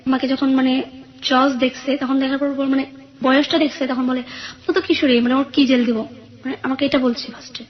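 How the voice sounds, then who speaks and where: A woman's voice: high-pitched, flat delivery, fairly quick, muffled recording. A young woman with a Bangladeshi accent giving a personal account as an interview guest. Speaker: guest telling her life story.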